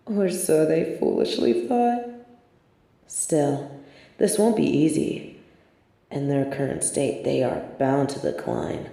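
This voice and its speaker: A woman playing a sardonic, scheming supervillain in a scripted audio roleplay, with a theatrical, measured, wry delivery and grudging sympathy. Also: sits low, lively intonation, gravelly, dark tone, slightly rough, exaggerated voice. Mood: scheming, gloating. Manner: theatrical, measured, wry, drops into muttered asides.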